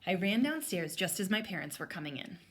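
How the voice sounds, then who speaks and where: A woman's voice: on the low side, very animated, brisk. A woman speaking as an elementary teacher, reading aloud and explaining to children step by step. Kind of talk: storybook read-aloud and lesson for children.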